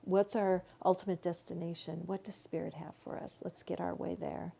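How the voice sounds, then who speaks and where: A woman giving a live tarot reading in slow, soothing spiritual guidance, her voice growing tired. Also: lowish voice, little pitch movement, creaky, dark tone. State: tiring voice. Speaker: tarot reader.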